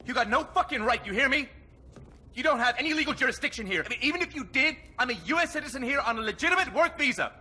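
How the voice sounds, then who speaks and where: An actor playing a young cartel chemist in a game, defiant and whiny, agitated and self-justifying, with a strained husky voice. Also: male voice, very high voice, expressive, quick pace, pushed and bright, heavily acted. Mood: indignant.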